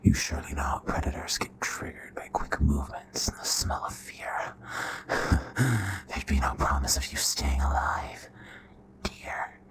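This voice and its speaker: A man playing a charming, possessive royal vampire in an intimate ASMR roleplay, with a breathy, hushed, courtly and menacing delivery. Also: big pitch swings, unhurried, full character voice, low-quality recording. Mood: menacing, seductive. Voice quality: breathy whispering, very low voice.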